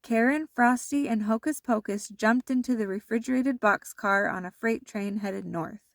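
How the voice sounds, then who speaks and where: A woman narrating a children's storybook aloud in an expressive, deliberate storytelling manner. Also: a little high, dry recording, clean studio sound.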